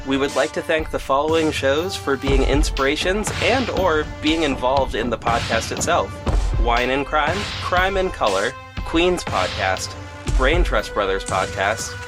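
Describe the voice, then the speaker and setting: Man reading podcast credits over music. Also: mid-range voice. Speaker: writer, director and producer of the show. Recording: clear music bed.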